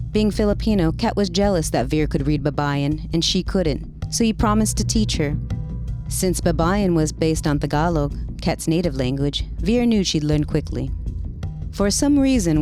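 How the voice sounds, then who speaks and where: A woman narrating a short story as a dramatic reading. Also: low-pitched.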